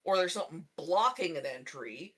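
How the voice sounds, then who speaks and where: A woman speaks as a player in a tabletop game, half in character. She is casual, dry and decisive, with a medium-pitched voice that moves through a wide range of intonation. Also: animated, normal speed, a bit bright, breathy edge.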